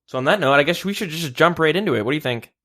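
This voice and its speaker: Young male podcast host, relaxed, reads the news. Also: a little high, racing. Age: young adult. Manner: steady, runs the show and leads topics. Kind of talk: casual chat, reading news. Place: shared room, two mics.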